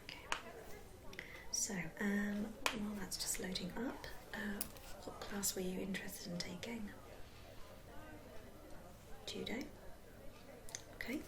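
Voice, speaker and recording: A woman acting as a helpful sports centre receptionist speaks in a soft, friendly whisper. The delivery is unhurried and gentle, in ASMR roleplay style. A clear murmur of people sits behind the voice. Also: medium pitch, steady tone, crowd noise. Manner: soft-spoken, whispering, unhurried, hesitant fillers.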